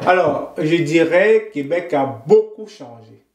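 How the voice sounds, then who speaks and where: A Cameroonian man, a guest in an interview, talking in a measured, thoughtful way and often pausing to find his words. His voice sits a little high. The room gives his voice a noticeable echo. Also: expressive. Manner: thoughtful, measured, with frequent hesitations. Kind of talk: conversational interview.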